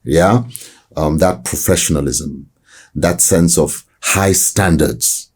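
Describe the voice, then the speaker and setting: A man who works as a voice-over artist with a deep voice, answering interview questions in a reflective way, often restarting his sentences. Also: a little mellow, husky. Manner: reflective, hesitant restarts, slow emphasis.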